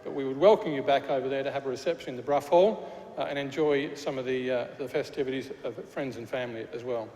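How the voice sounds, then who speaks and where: A man's voice: medium pitch, fast, warm tone. Glaswegian man speaking in an echoey church. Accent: Glaswegian Scottish. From Scotland. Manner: chatty with frequent restarts and fillers, jokey asides. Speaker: university official addressing graduates. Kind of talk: ceremony speech. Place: large church hall. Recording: echoey hall with faint noise.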